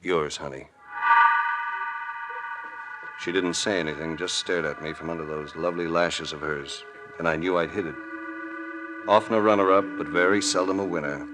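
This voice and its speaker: An actor playing a shrewd, calm, world-weary investigator with an American accent and a cool, deliberate delivery in an old radio drama. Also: male voice, low voice, over music, close to an everyday voice, poor audio. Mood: weary accusing. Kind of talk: 1940s-50s radio drama acting. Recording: vintage radio recording.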